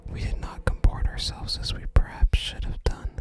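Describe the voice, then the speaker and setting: An ASMR gaming host speaking entirely in a soft, breathy whisper, narrating play and reading game dialogue aloud. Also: moderate pace. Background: game music.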